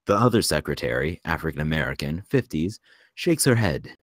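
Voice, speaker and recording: A man reading screenplay stage directions as narrator, in a measured, descriptive way. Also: a bass voice, big pitch swings, dry recording, clean studio sound.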